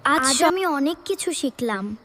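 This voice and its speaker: A woman's voice: high-pitched, lively intonation, a little slow, fairly bright tone, a little hiss or hum. A woman voicing an eager, curious and devout little boy in a children's educational cartoon. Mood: devout, glad.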